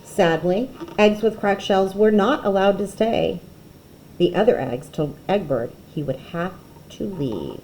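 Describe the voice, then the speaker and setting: A woman reading a children's picture book aloud expressively, with dramatic pauses and emphasis. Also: mid-range voice, animated, a little mellow, slight background noise, low-quality recording.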